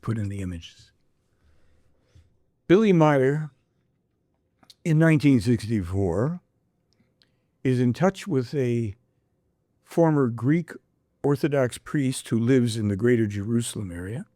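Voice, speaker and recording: A man of middle pitch hosts a podcast, reading a prophetic passage in measured tones before slipping into his own asides. He poses rhetorical questions and answers them himself. The sound is dry and close, with no room around it. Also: animated, warm tone, dry recording. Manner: reads prophecy text then adds his own commentary, asks rhetorical questions. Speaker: podcast host. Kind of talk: reading aloud and casual discussion.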